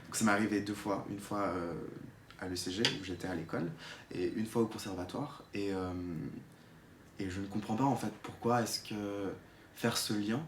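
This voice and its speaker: A man's voice: on the low side, fairly even intonation, normal speed. A twenty-year-old man from Geneva gives an unscripted personal testimony, hesitant and searching for words as he explains. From Geneva, Switzerland. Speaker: drama student giving a testimony. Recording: echoey room with faint noise.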